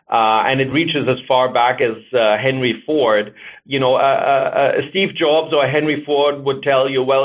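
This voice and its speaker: A middle-aged man with a German accent explains his ideas in a measured, thoughtful way, pausing with fillers as he thinks. His voice sits at a medium pitch. It comes through a narrow, tinny phone line. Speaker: author and marketing executive, guest. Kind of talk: interview answers over the phone.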